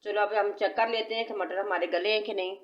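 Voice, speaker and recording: A woman's voice: mid-range voice, fairly even intonation, fast talker, muffled recording. A woman with a sore throat, hosting a cooking channel and patiently explaining each step of a recipe in a home kitchen. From Pakistan. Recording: echoey room.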